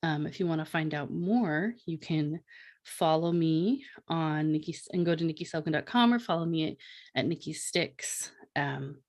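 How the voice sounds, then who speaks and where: A woman presenting her art projects in a relaxed online panel talk, with many fillers and restarts, on a video call mic. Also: low-pitched, normal speed. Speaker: artist and presenter.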